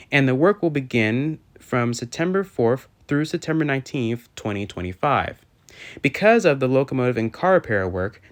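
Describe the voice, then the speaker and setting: A man narrating an informational video from a script in a formal, careful way, occasionally stumbling over a word. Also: medium pitch. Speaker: railfan video host.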